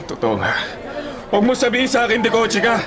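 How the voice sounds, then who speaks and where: An actor playing an angry Filipino delivery rider spoiling for a fistfight, confrontational, in radio drama style. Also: male voice, very high voice, big pitch swings, fast, a crowd in the background. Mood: furious. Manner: shouting, confrontational.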